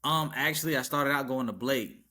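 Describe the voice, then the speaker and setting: A man from Arkansas talking as a podcast guest, relaxed and informal, with a habit of saying "yes, sir" and chuckling between stories. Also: mid-range voice, fairly quick. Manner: laid-back street talk, repeats "yes, sir", chuckles often. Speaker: podcast guest, tattoo artist and rapper. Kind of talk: casual interview chat.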